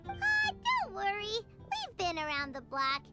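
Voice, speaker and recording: A tiny childlike sidekick squeaks very high, with wildly swooping, unhurried delivery. The blunt, curious game voice sits over clear music. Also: female voice, huge pitch swings, heavily acted. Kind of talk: video game voice acting. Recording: music behind the voice.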